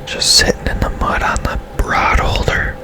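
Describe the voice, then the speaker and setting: A man whispering casual, unhurried ASMR commentary as he plays a video game. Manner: whispering throughout. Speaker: gaming streamer.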